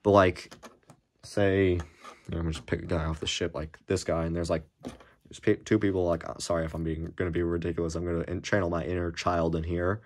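A young man with a deep, cracked, slightly hoarse voice, rambling casually with lots of fillers while showing off a toy build. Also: little pitch movement, a little fast, darkish tone. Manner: rambling, many fillers and restarts. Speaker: Lego YouTuber. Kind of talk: casual show-and-tell of a build. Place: small room indoors at a table. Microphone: camera filming hands over a desk.

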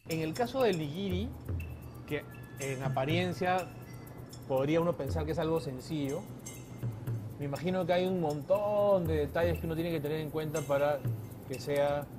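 A man's voice: on the high side, normal speed, over music. A man who hosts a food show, asking a chef questions and summing up the answers. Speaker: host.

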